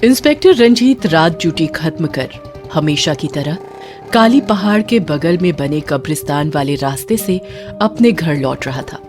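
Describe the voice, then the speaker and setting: A woman's voice: low voice, animated, normal speed, over music. A woman narrating a scripted horror story in a dramatic storytelling style.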